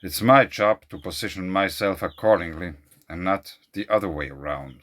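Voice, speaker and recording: A man whose first language is German, speaking English in an earnest, questioning monologue to camera, often trailing off quietly. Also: low-pitched, moderate pace. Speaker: video creator. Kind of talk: casual monologue to camera, reading out an email.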